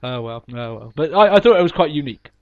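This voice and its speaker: A man with a head cold hosts a casual podcast, his voice pitched a little high and slightly stuffed up. He rambles cheerfully, restarting sentences and filling gaps with ums. The recording sounds dull and lo-fi. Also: lively intonation, fast talker. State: sniffly from a cold. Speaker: podcast host.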